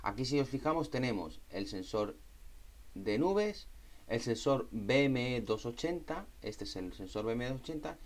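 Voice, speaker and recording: A man with an accent from Spain and a medium-pitched voice walks through technical steps as a tutorial instructor. His delivery is informal and spontaneous, with repeated phrases and frequent little tags to check that the listener follows. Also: lively intonation, moderate pace. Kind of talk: informal step-by-step technical explanation.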